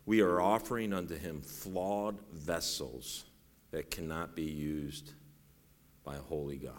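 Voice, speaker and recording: A middle-aged man with a bass voice preaching a sermon in a folksy, self-deprecating way, rising to raised, urgent preaching. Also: a little mellow. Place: church sanctuary. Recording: long reverb in a large hall.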